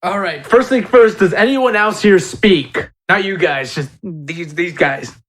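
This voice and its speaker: A man with a fairly high voice plays a tabletop role-playing game. He talks through his turn in loose, casual table chat. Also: unhurried, fairly bright tone.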